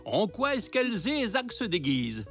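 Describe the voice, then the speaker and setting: A man's voice: high-pitched, huge pitch swings, moderate pace, fairly bright tone, heavily acted. A man voicing a kindly, reassuring zebra grandfather in a French children's cartoon. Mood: genial. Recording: music behind.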